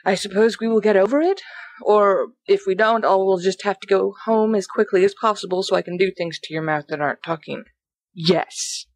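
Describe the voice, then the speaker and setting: A woman playing an excitable young man, reading his letter aloud in a rambling, sardonic, dramatic manner. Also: medium pitch, moderate pace, no echo, close to an everyday voice. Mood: unnerved, candid.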